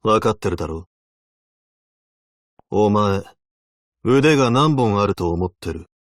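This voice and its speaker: A man's voice: on the low side, lively intonation, measured pace, dry recording, clean studio sound. A man voicing a stern, weary veteran abyssal hunter in a video game, speaking in a terse, solemn, commanding way. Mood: measured, stern. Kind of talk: Japanese video game character voice lines.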